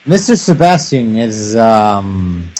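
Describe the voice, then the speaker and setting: Husky, teasing male player. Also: on the low side, very animated, drawn-out words, a little mellow, rough recording. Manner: teasing, playful questions. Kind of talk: casual tabletop game chat.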